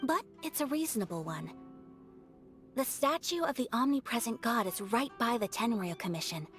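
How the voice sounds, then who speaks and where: A woman acts a refined young noblewoman strategist in an anime game. Her voice is fairly high, composed and gently serious, with measured, formal phrasing over background music. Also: animated, lightly acted. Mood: calculating.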